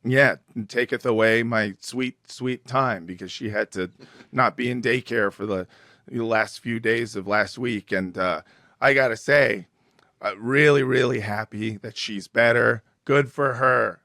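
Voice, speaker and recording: Brief: male podcast host, hoarse from a cold, slow jokey delivery, dry close mic. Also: pushed and bright, no echo. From Los Angeles. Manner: joking, deliberately low and slow. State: hoarse, recovering lost voice. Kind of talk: casual comedic chat.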